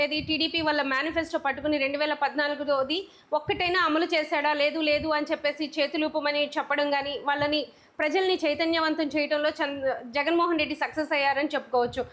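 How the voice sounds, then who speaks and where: A woman's voice: sits high, fairly bright tone. A woman presenting political news commentary in a wordy, explanatory way that circles back over phrases.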